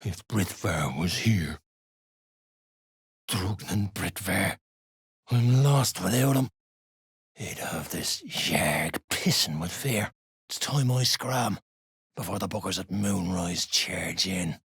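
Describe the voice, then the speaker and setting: An actor playing a foul-mouthed, surly duergar dwarf fighter in a video game, with a rough, husky voice and coarse slang. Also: male voice, sits low, expressive, slow, pauses often, fairly bright tone, dry recording, clean studio sound, heavily acted. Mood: bitter. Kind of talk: video game character lines.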